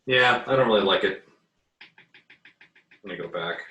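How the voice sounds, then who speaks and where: A man giving a casual drawing tutorial as an art teacher, thinking aloud in a halting, muttering way while he works. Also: on the low side, sharp tone. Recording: roomy echo, distant microphone.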